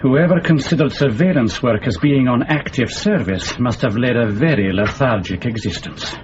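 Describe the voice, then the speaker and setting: An actor playing a dry, impatient senior surveillance officer in a radio drama. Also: male voice, mid-range voice, moderate pace, natural voice. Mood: dry, sardonic. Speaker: officer in charge. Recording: dull, noisy radio drama recording.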